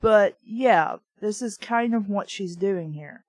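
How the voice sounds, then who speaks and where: A woman's voice: medium pitch, takes it slowly, no echo. A woman reading a book aloud casually, with blunt, sweary, opinionated off-the-cuff commentary. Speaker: reader and commentator of a children's book.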